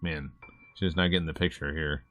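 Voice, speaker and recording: Deep-voiced man narrating a game, wryly. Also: fairly even intonation, moderate pace, fairly bright tone, muffled recording. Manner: wry, informal asides. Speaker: gaming streamer. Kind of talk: casual visual novel playthrough, narrating and commenting.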